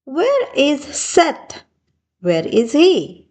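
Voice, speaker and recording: Woman voicing an eager, high-pitched little storybook girl. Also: huge pitch swings, extremely slow, a little mellow, heavily acted. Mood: wondering.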